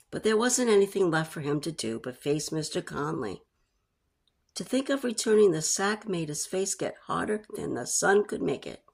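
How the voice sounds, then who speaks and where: A woman's voice: sits low, creaky, breathy edge. A woman reading a story aloud as a storyteller, in narration style.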